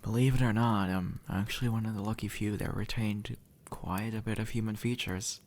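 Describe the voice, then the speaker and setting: A man playing an easygoing, centuries-old demon who was once human, speaking gently and casually to the listener in an intimate audio roleplay. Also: lowish voice, fairly even intonation, moderate pace, a little hiss or hum, lightly acted. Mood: reassuring. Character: easygoing two-century-old male demon, formerly human, reassuring and teasing.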